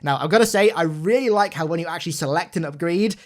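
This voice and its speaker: Higher-voiced man doing game commentary. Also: fast talker. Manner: informal, gushing, emphatic. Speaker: video game content creator. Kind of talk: enthusiastic breakdown commentary.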